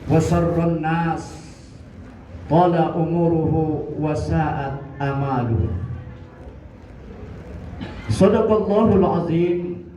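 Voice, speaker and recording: A man in his sixties giving a Friday mosque sermon, measured and building in emphasis. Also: on the high side, warm tone. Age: 60s. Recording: echoey, distant, dull mosque recording with light noise.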